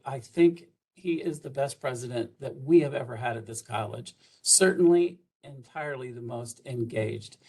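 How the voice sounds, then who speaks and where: A man's voice: medium pitch, a little mellow. Older man, college administrator, folksy welcome on a video call, lots of fillers. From South Georgia, USA. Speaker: college administrator introducing the president.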